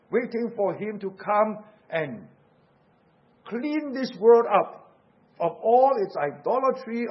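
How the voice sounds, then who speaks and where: Singaporean man preaching a sermon, husky high voice, dull lo-fi recording, urgent and questioning. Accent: Singaporean English.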